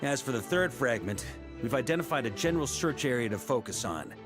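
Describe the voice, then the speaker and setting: An actor playing a seasoned, confident knight commander laying out a plan. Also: male voice, medium pitch, a little fast, close to an everyday voice. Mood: commanding. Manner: steady, assured, commanding. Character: seasoned male knight commander, calm planner. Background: game music.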